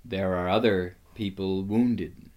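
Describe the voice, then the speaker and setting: A grave lion king from a storybook, acted out by a male narrator. He speaks slowly and solemnly, pitched a little low, with calm command.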